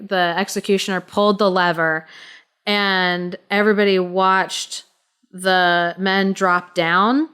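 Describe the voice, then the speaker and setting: A woman co-hosting a podcast, telling a story casually in an informal, halting, explanatory way. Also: mid-range voice, a bit bright, smooth.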